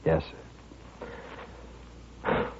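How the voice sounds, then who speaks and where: An actor playing a quiet, polite man set on killing, subdued and resolute, in a 1950s radio western. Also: very slow, slight background noise. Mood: quietly resolute. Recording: dull vintage 1950s radio recording.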